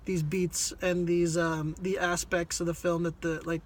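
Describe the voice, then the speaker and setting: A man's voice: a little high, flat delivery, a little slow. A man giving a casual conversational movie review, hesitant and rambling as he searches for words. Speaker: film reviewer on a video channel.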